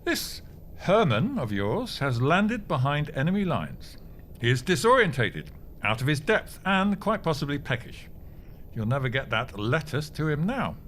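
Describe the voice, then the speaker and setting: Grumpy, self-important male clerk, theatrical and very animated, for comedy audio drama; noticeable background noise. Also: fairly high pitch, a little slow. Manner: blustering, indignant, theatrical. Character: pompous, grumpy, melodramatic male bureau clerk, martial-arts dabbler.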